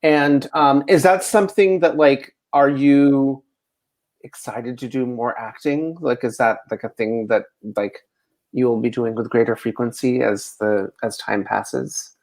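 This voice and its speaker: An interviewer hosts a casual conversation and passes on audience questions. The delivery is tentative and a little halting, in an informal, conversational style. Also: mid-range voice, fairly even intonation, darkish tone.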